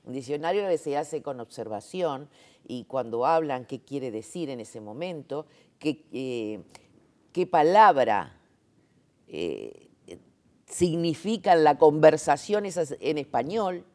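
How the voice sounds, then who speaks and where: A woman explains her work in a relaxed interview. Her pitch moves animatedly as she stresses her points. She uses frequent fillers and rhetorical checks. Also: slow. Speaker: interviewee, sign language interpreter. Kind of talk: conversational interview.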